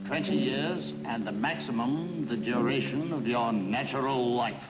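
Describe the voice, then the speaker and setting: An actor playing a stern, formal judge and husband in measured 1950s television drama style. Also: male voice, mid-range voice, close to an everyday voice. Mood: solemn. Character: stern, formal middle-aged male judge and earnest husband. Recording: noisy old 1950s broadcast soundtrack.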